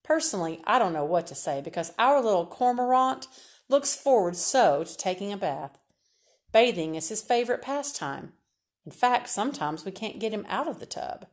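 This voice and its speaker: A woman reader voicing a smug, fussy neighbor mother who gushes in a drawn-out, syrupy way. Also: mid-range voice, lively intonation, close to an everyday voice. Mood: gushing.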